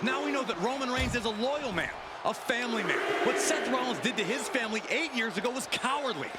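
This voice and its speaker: A man calling a video game wrestling match as an emphatic, indignant television commentator, in an excited and dramatic style. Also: very high voice, brisk, very noisy. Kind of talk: excited play-by-play sports commentary.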